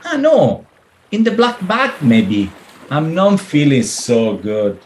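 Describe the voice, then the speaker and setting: An actor playing a seasick, smooth-talking businessman husband in a radio play, melodramatic and whiny. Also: on the high side, wildly swooping pitch, slow pace, full character voice. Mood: anxious, queasy. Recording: faint background noise.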